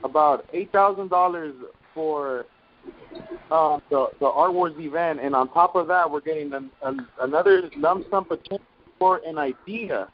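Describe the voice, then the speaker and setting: A man in his twenties giving a casual personal account on a group call, halting and slangy as he goes. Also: fairly high pitch. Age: 20s. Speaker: call guest, young entrepreneur. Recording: muffled noisy conference call.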